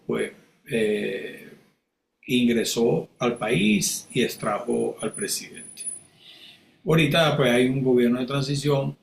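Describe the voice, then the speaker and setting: A Venezuelan man in his sixties, speaking as an interview guest and answering with many halting fillers. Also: medium pitch, vocal fry. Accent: Venezuelan Spanish. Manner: halting, heavy fillers, thoughtful. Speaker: guest, real estate appraiser. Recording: echoey room, faint background noise.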